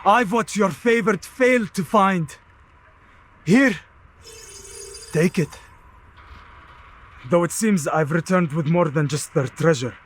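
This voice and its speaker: An actor playing a bitter, accusing assassin in a video game, with a husky voice and intense delivery. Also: male voice, high voice, slow, pauses often. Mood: scornful. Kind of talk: dramatic video game acting.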